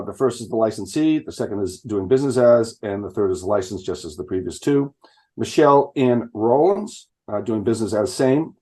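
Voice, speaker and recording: A man, reading a list of license renewals aloud at a town select board meeting, with some fumbles and restarts. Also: medium pitch. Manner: reads names in a flat list with fumbles and restarts. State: stuffed up from illness.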